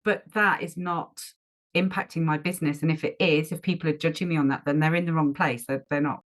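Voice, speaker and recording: A woman with a notably low-pitched voice hosts a casual podcast conversation. She is chatty and agreeable, often nudging her guest with questions that invite agreement. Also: fairly even intonation, brisk. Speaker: podcast host. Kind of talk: casual interview talk.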